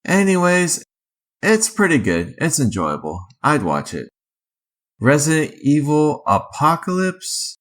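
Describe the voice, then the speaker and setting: A man's voice: a little high, wildly swooping pitch, slow pace, fairly bright tone. A man hosting a casual review commentary, talking informally and offering his opinions as he goes. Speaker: video host ranking films.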